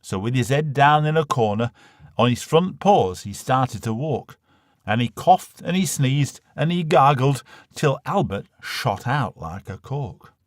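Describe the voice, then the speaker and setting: A man with a Northern English accent recites a comic monologue in verse. His delivery is highly animated, moving freely up and down around a middling pitch. The sound is dry, with very little room to it. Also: unhurried, no echo. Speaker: poetry reader.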